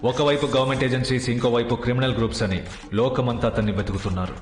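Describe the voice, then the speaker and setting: A man's voice: medium pitch, racing, faint music behind, natural voice. A man playing an urgent, authoritative mission controller giving a tense briefing in an action film. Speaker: film actor. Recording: echoey film audio, loud background score.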